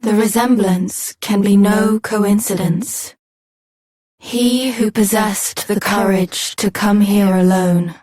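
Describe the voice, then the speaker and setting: Reverent temple maiden, fantasy game, slow ceremonial delivery, formal, solemn, dry close sound. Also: female voice, medium pitch, steady tone, darkish tone, dry recording, low-quality recording. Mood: solemn, grave. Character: solemn, reverent maiden guarding a sacred egg. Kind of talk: scripted fantasy video game voice acting.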